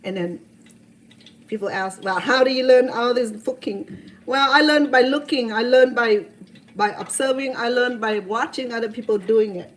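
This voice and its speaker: A woman's voice: on the high side, moderate pace, slight background noise, low-quality recording. Earnest Cambodian-accented woman in her sixties. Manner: earnest, heartfelt, with many restarts. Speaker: cooking show host. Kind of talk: personal storytelling in a cooking video.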